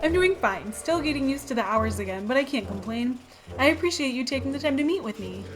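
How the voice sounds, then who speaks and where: A woman's voice: high voice, expressive, brisk. A woman playing a polite, wry news reporter in a radio drama. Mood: polite, grateful.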